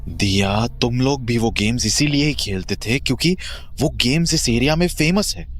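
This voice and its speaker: A man's voice: medium pitch, lively intonation, normal speed, a bit bright, natural voice. A man acts as a level-headed, dismissive husband in a horror audio drama. His medium voice moves up and down a lot as he reasons, a little exasperated, over music. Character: rational, dismissive husband calming his frightened wife.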